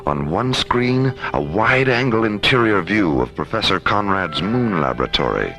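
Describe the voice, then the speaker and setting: A man playing the measured, dramatic narrator of a science fiction radio serial. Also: on the low side, very animated, rough recording. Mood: suspenseful. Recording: loud score behind voice. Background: music.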